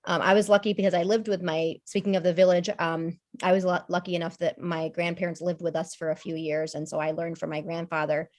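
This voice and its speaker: Woman in her 30s on a podcast, kinda low voice, rambly and thoughtful, lots of ums. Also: little pitch movement, a little fast, hardly any pauses, darkish tone. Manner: thoughtful, rambling, many fillers and restarts. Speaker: podcast guest. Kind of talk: casual conversational discussion.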